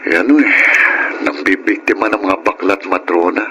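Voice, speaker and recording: A man's voice: deep voice, moderate pace, a bit bright, a little hiss or hum, low-quality recording. A Filipino man playing an earnest, caring young working man in a radio drama. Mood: concerned.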